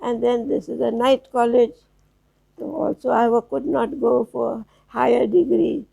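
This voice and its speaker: Gravelly-voiced woman in her nineties. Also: a little high, dark tone, dull audio. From South Africa. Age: 90s. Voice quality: gravelly, husky. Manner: slow, halting storytelling with restarts. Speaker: interview guest. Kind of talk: reminiscing in a casual interview.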